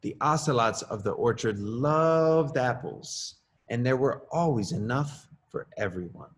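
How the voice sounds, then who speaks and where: A middle-aged man, an assistant principal, reading his own children's story aloud with lively rises in pitch. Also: unhurried, slight background noise. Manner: expressive, lively rises on questions and exclamations.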